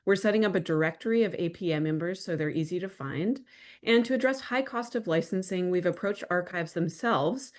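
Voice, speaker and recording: A woman in her thirties presenting on a video call in a plain, matter-of-fact way, with some ums. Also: fairly low pitch. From New York, USA. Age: 30s. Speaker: panelist, archival producer.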